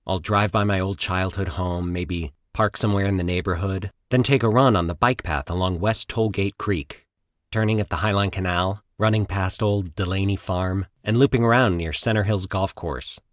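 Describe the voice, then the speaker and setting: A man from Colorado with an American accent, narrating a scripted short story in a measured, literary way. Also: deep voice, no room sound, studio quality. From Colorado, United States. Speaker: fiction podcast narrator.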